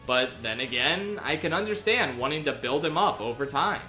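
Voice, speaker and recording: A man's voice: on the high side, expressive, normal speed, bright, forceful tone, faint music behind. A young man narrating a comedic review with sarcastic, exasperated asides. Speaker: video reviewer. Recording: roomy echo.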